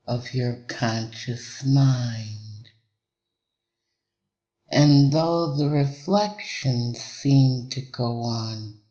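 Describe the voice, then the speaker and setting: A man delivering a hypnotic induction in a measured, deliberate way, with long pauses between short phrases. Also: medium pitch, darkish tone.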